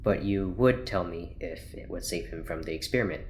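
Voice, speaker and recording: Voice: low-pitched, normal speed, darkish tone, a little hiss or hum. An actor playing an earnest, awkward male doctor in an audio drama, hesitant, polite and easily flustered. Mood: flustered, worried.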